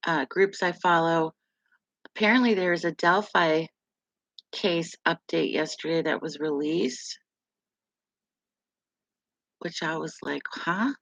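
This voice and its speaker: Middle-aged woman host, gravelly low voice, unhurried chatty live stream delivery, dry close sound. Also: a bit bright, no echo, rough recording. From West Virginia, USA. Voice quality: deep, gravelly voice. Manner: slow, rambling, laughs often. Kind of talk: casual live chat with viewers.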